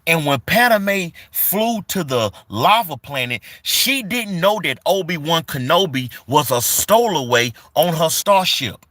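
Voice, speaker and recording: A man's voice: a little high, animated, measured pace, few pauses, a bit bright, dry recording, studio quality. A man in his forties on a casual live stream, reading viewers' comments aloud and greeting them in a loose, repetitive way full of fillers. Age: 40s.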